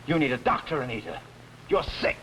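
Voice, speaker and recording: Grave, tormented man with lively pitch an actor's role. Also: brisk, natural voice. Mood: appalled, bitter. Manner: grave, dramatic. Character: grave, tormented orchestra conductor, a widowed husband. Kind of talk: 1950s television melodrama acting. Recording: noisy old 1950s TV soundtrack.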